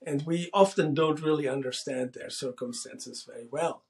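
Gravelly-voiced man musing on camera. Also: on the high side, moderate pace, a little mellow. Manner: restarts often, thoughtful, gestures while talking. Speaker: host. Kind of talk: casual monologue to camera. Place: small room indoors.

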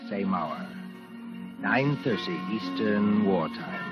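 A man giving measured, formal, scripted announcements in the style of 1940s radio. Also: fairly low pitch, lively intonation. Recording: dull vintage radio recording, loud music. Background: loud music.

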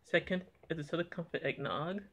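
A young man talking plainly and a little haltingly as he reviews eggnog on a home vlog, filmed by a camera on the table in a small room. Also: fairly high pitch, fairly bright tone, slight background noise. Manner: plain, unhurried, slightly halting talk. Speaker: product reviewer. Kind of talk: casual taste-test vlog. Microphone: camera on table in front.

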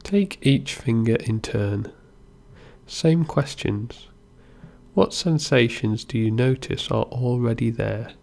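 A man gently guiding a meditation as an instructor, in an unhurried way with pauses between instructions. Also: mid-range voice, gravelly. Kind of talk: guided body scan meditation narration.

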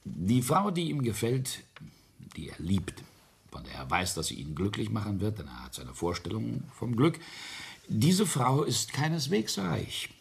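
An actor playing a middle-aged industrialist father in a radio drama, cold, bitter and deliberate, pausing pointedly as he tells his tale. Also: wildly swooping pitch, full character voice. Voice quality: husky, low. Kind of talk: German radio drama acting.

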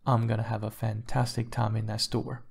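A man voicing a wealthy, glamorous wife who is breezy, touchy and charming, gushing and quick to defend herself in an audiobook story. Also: lowish voice, little pitch movement, vocal fry, a little mellow, slightly rough, heavily acted. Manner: gushing, defensive, pet names for husband.

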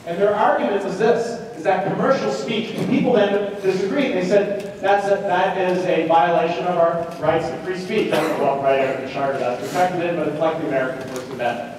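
A man with a fairly high voice gives a conversational university lecture, tossing out rhetorical questions. His voice rings through a very echoey hall and is picked up at a distance from the microphone. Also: fairly quick. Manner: animated, rhetorical questions and check-ins. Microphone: distant room mic. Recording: roomy echoing lecture hall, distant mic, noisy.

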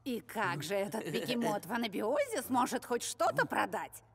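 A woman voicing a sceptical gnome in an animated film, with a doubting, snarky manner. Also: a little high, normal speed, gravelly, long reverb tail. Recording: loud music behind the voice.

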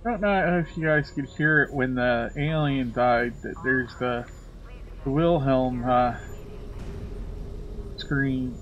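A man streaming a game, nursing a cold, musing slowly as he plays. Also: medium pitch, fairly bright tone, lo-fi sound, slight background noise. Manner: slow, musing, drawn-out remarks. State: has a cold. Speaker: gaming streamer. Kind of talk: let's play commentary.

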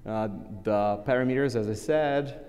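A man in his thirties, a native Portuguese speaker, giving a relaxed live technical conference talk at a lectern, with many fillers and restarts. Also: mid-range voice, unhurried, long reverb tail. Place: large conference hall, at a lectern.

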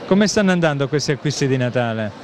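Quick-talking male street interviewer. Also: mid-range voice, animated. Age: middle-aged. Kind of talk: vox pop interviewing. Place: indoor shopping mall. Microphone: handheld reporter microphone. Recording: busy public space, background noise.

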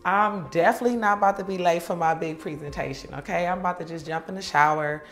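A woman's voice: lowish voice, quick pace, faint music behind, close to an everyday voice. An actress playing an exasperated young girlfriend in a naturalistic comedy skit, with an American accent. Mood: affectionate. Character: exasperated, fed-up young woman arguing with her boyfriend. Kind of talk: comedy skit acting, naturalistic couple banter.

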